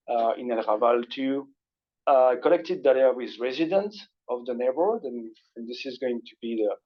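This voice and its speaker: Man, Belgian French accent, French native, mid-pitched, casual hesitant lecturer, dull lo-fi mic. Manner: relaxed conversational lecturing, frequent hesitations. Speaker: guest speaker. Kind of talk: academic talk. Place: university seminar room. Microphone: passed microphone.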